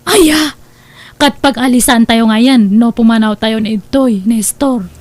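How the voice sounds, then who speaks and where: A woman's voice: a little high, a little slow, a little mellow, no echo, natural voice. A woman playing a cheerful married woman who giggles bashfully at compliments. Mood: chatty.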